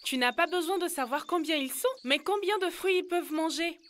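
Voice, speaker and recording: Casting: woman as playful cartoon village girl, piercingly high, bright delivery. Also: fairly quick, slight background noise. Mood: cheerful, eager. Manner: playful, giggly, teasing. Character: cheerful, helpful young village girl. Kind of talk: animated educational cartoon dialogue.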